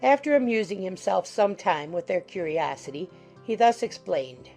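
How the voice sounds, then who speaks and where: A woman gives scripted audiobook narration. Her medium-pitched voice is warm and mellow with a noticeable creak, set over quiet music. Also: lively intonation, normal speed.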